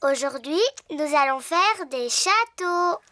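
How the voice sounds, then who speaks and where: A woman's voice: sits high, animated, slow, pushed and bright, faint music behind, full character voice. A woman voicing a cheerful, curious little girl pig in a French children's cartoon dub. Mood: chatty.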